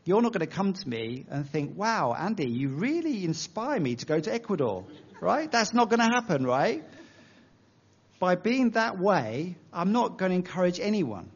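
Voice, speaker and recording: English man preaching, somewhat high voice. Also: big pitch swings, normal speed, breathy edge, lo-fi sound. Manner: conversational, self-deprecating, uses analogies. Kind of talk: sermon.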